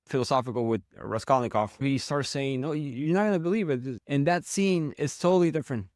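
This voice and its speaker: A man speaking as an interview guest in a casual conversation, reflective and halting, often restarting his sentences. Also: mid-range voice, a little mellow, dry recording, studio quality. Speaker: guest, founder of a literature platform.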